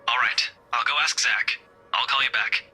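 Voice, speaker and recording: A young police detective in a game drama, gruff and curt but protective underneath. His voice is very sharp and forceful, with a husky rasp. Soft music plays behind him. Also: mid-range voice, fairly even intonation, normal speed. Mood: calm, firm. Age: young adult. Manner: curt, blunt, dry. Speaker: game voice actor. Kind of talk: dramatic visual novel dialogue.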